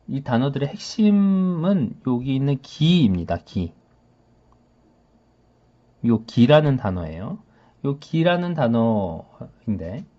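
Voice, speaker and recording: A male language teacher with a mid-range voice and very animated intonation. He explains vocabulary informally and step by step, often posing small rhetorical questions to the learner. The recording is somewhat muffled and lo-fi. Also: medium pitch. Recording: dull, lo-fi sound.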